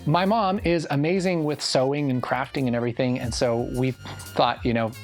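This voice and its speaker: A man talks casually to camera as a video host. His voice is medium in pitch, and faint music plays behind it. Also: fairly even intonation, moderate pace. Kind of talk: casual behind-the-scenes interview. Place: small studio with curtain backdrop.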